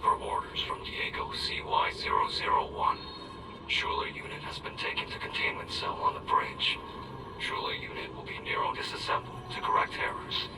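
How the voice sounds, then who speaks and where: Echoing, obedient cyborg. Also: male voice, normal speed. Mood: cold, dutiful. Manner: flat, mechanical, formulaic. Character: obedient cyborg reporting coldly to its Mother. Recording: distant, echoey, under loud music.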